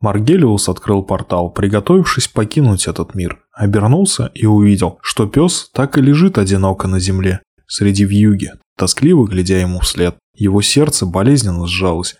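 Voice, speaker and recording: A man reading a fairy tale aloud, expressive, slowing and hushing for the sad passages. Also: fairly low pitch, breathy edge. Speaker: narrator. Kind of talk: storybook reading.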